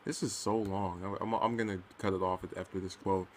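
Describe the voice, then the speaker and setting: A man's voice: deep voice, little pitch movement, a little fast, a little hiss or hum. A man casually reading and commenting on news, stumbling over his words now and then.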